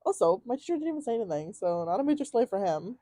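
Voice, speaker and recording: A young woman co-hosting a podcast, chatting casually with a friend, slang-heavy and quick to laugh. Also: on the high side, sing-song. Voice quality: loud projecting voice. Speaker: podcast co-host. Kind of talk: casual chat.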